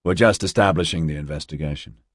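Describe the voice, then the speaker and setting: A man's voice: very deep voice, fast talker, no room sound, clean studio sound. A man voicing a confident, casual male investigator in an audiobook, teasing yet polite. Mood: calm, polite.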